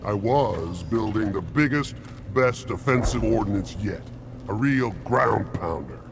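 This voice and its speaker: An actor playing an arrogant, grumbling alien warrior scientist in a video game, obsessed with weapons. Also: male voice, fairly low pitch, animated, unhurried, vocal fry, noisy background. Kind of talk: video game character acting.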